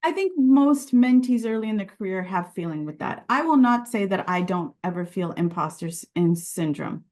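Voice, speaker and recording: A woman whose first language is Sicilian talks in a conversational interview, at a middle pitch. She sounds earnest and reflective and often restarts her phrases. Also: animated, normal speed, hardly any pauses, a little mellow. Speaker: podcast guest, regulatory and quality director.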